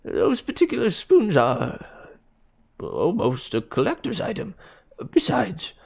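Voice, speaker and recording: A man's voice: medium pitch, sing-song, takes it slowly, vocal fry, voice pushed up, full character voice. A man voicing a nervous elderly hunchbacked antique dealer who stammers and pleads.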